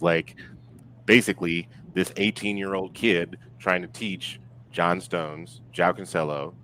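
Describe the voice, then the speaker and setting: A man chatting casually about sport as a podcast guest, speaking in a halting, reflective way and circling back to rephrase. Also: low-pitched, a bit bright, slight background noise, low-quality recording. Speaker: podcast guest discussing football.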